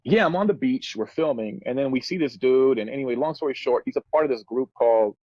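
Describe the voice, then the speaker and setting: A man's voice: mid-range voice, animated, fast talker, darkish tone, no echo, lo-fi sound. A man in his thirties telling stories casually as a musician guest on a podcast, in laid-back, slangy, earnest and reflective speech. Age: 30s.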